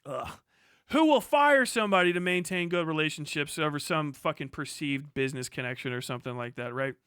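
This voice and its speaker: An American man with a high-pitched, sharp and clear voice rants to his livestream. He is forceful and foul-mouthed, hammering his points and voicing imagined exchanges. The sound is clean and smooth-toned. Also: fairly quick, no echo, clean studio sound. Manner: forceful, emphatic, sweary, acts out imagined dialogue. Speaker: streamer. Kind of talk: impassioned, profane livestream rant.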